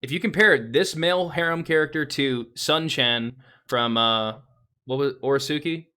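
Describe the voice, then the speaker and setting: A man's voice: mid-range voice, moderate pace, pushed and bright, smooth. A young man co-hosting a casual anime review podcast, blunt and opinionated, swearing often. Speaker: podcast co-host.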